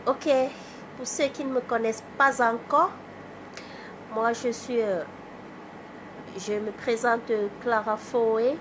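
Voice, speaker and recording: A woman from Cameroon with a cold, hosting a casual livestream, greeting viewers by name and chatting in a halting way. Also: on the high side, fairly even intonation, background noise. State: has a cold. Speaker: livestream host, artist. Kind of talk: casual live chat with viewers.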